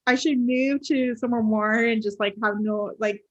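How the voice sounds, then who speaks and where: A woman's voice: a little high, fairly even intonation, normal speed, lo-fi sound. A woman from Puerto Rico hosting a book podcast, chatting casually with many "like" fillers. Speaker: podcast host. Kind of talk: casual interview chat.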